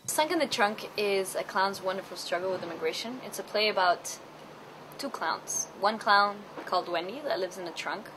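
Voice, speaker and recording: A woman from Chile, a theatre artist, talking in an earnest, thoughtful interview with many pauses. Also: medium pitch, noisy background. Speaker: theatre artist interviewed about her play. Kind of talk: reflective personal interview.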